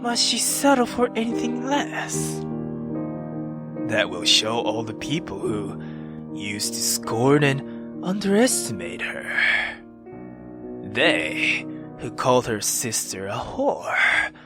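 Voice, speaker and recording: A woman voicing a sinister male immortal who feeds on love, bitter and mocking, with slow menace that drops into whispers, in a visual novel. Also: very deep voice, huge pitch swings, over loud music, pitched below the performer's own voice, heavily acted. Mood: mocking calm. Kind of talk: dramatic visual novel read-aloud.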